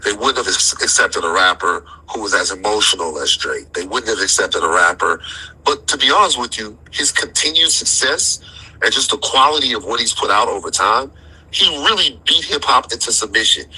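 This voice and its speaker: Forceful husky man arguing casually. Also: lowish voice, expressive, moderate pace, rough recording. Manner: emphatic, repeats phrases for emphasis. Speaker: commentator in a debate about rap. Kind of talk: casual opinionated discussion, slangy.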